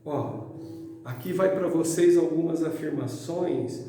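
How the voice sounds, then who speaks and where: A man's voice: on the high side, takes it slowly, mellow, faint music behind. A middle-aged Brazilian man giving an informal spiritual talk to an audience in a conversational, colloquial way. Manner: conversational, colloquial, acts out imagined objections. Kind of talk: informal motivational sermon to an audience. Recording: very echoey hall, distant microphone.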